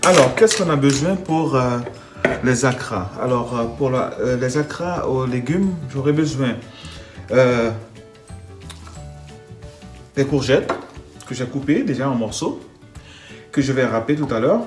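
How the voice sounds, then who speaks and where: A man's voice: mid-range voice, lively intonation, unhurried, pauses often, soft background music. A man from the Netherlands with a Dutch accent, speaking French, talking through a home cooking video with many hesitations and restarts. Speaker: home cook.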